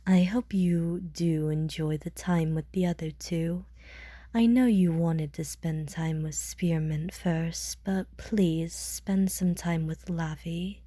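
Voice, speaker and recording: A calm, jaded, dryly teasing woman, played by a voice actress in an audio roleplay. She speaks slowly and politely in a low, breathy murmur. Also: no echo, studio quality. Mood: gently teasing. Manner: measured, polite, softly jaded. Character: calm, world-weary, dryly teasing, caring mature woman.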